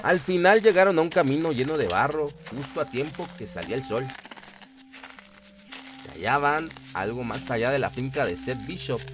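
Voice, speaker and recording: A man reading scripted horror narration in a dramatic storytelling style. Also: medium pitch.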